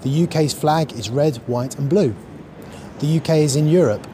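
A man, listing facts briskly outdoors. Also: on the high side. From United Kingdom. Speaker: street interviewee. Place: city street outdoors. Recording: outdoor street noise.

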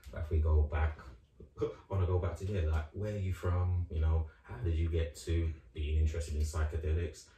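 A young man hosting an interview in a living room, chatting in a relaxed, rambling, encouraging way. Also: sits low, normal speed, slight background noise. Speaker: host. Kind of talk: casual interview chat. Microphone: far camera. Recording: echoey room, far from mic, audible background ambience.

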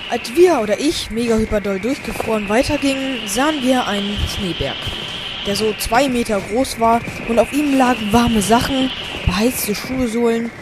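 A theatrical narrator tells a parody audio play. The voice is mid-pitched, delivering dramatic storytelling over loud background music. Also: normal speed, low-quality recording.

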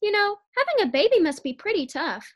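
A woman's voice: extremely high pitch, sing-song, a little fast, a bit bright, full character voice. A woman playing a perky, exasperated housewife with emphatic comebacks in an old-time radio sitcom.

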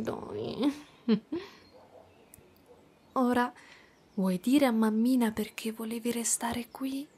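Woman playing a doting monster mother, spider-woman, slow pace, highish voice, soft cooing, gentle ASMR roleplay. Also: creaky, a little hiss or hum. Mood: tender, consoling. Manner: soft, cooing, tender, sometimes whispering.

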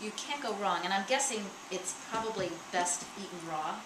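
A woman calmly explains practical growing methods step by step, as a farm stand owner. She is heard from some distance from the mic. The room is echoey, and loud background noise sits behind her. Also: medium pitch, normal speed. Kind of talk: casual explanation to a visitor. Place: inside a small farm stand.

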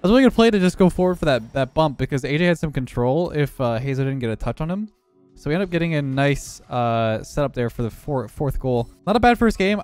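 A young man who has lost some of his voice, hoarse, giving relaxed running commentary over his own gameplay. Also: fairly high pitch, no room sound. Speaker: gaming YouTuber.

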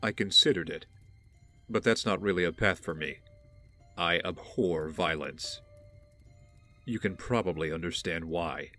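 A brooding young heir, acted by a man, talks in a very deep voice, measured and wary. His delivery is terse and dry, in an audio drama with faint music behind him. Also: pushed and bright, full character voice.

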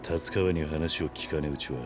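Brooding tough ex-gangster, extremely low voice, terse and grave, for a game drama. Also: male voice, fairly even intonation, normal speed, music in the background. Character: stoic, brooding middle-aged ex-yakuza tough man. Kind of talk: video game cutscene acting.

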